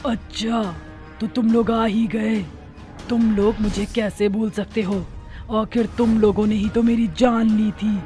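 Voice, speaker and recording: A woman voicing a vengeful ghost witch in a horror cartoon, threatening and aggrieved, with a slow delivery. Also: fairly high pitch, flat delivery, mellow, faint music behind, lightly acted. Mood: menacing. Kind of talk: Hindi horror cartoon dialogue.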